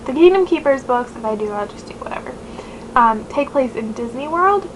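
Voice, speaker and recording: Young woman, nasal, chatty book vlogger; higher voice, hiss on the recording. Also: expressive, a little fast. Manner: chatty, rambling, many fillers. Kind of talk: casual chat to camera. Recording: noisy home recording.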